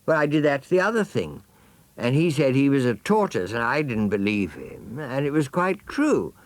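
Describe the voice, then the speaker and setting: A man's voice: mid-range voice, very animated, moderate pace, no room sound, voice pushed up, lightly acted. A man voicing a whiny, muddled young jaguar cub in a storybook reading, stammering and plaintive. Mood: whiny, bewildered.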